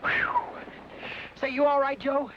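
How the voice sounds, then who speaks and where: A high, excitable young sidekick. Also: male voice, slow, slight background noise, full character voice. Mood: excited. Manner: eager, excitable. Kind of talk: 1940s radio acting. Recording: dull old radio recording with music.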